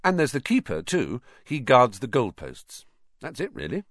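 A man's voice: medium pitch, moderate pace, no echo, clean studio sound, voice pushed up. Man as a weary, put-upon schoolboy. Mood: resigned explaining. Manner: flat, resigned, explanatory.

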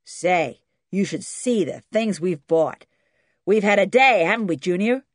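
A woman's voice: lowish voice, lively intonation, measured pace, no echo, clean studio sound. Woman doing a brash, hearty American dad. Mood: boastful. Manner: hearty, talkative, crass. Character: brash, boastful, burly American father, a tourist.

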